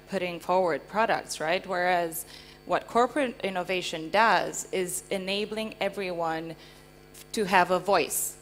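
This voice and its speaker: A woman's voice: medium pitch, fairly bright tone, slight background noise. A woman from Romania speaking as a panel guest, explaining things conversationally and often checking in with rhetorical questions. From Transylvania, Romania. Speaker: invited panel speaker, company founder. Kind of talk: panel interview, explanatory anecdotes. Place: live conference panel before an audience.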